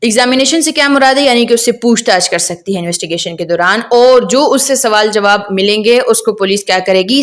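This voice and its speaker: A woman with a Pakistani accent gives an explanatory law lecture in a fairly high voice. She teaches by putting questions and answering them herself, and slips English legal terms into her sentences.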